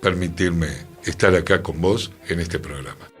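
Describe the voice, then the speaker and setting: A man's voice: low voice, fairly even intonation, moderate pace, a bit bright, slightly rough, soft background music. A man, co-hosting a radio show with reflective commentary and repeating phrases for emphasis. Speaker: radio co-host. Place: radio studio.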